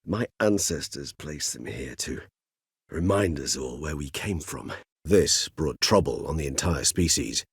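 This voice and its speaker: A middle-aged man playing a courtly, erudite, world-weary higher vampire in a video game, speaking smoothly in formal, eloquent phrases with thoughtful pauses. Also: animated, dry recording, studio quality, heavily acted. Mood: scholarly, reflective. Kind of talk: video game character dialogue.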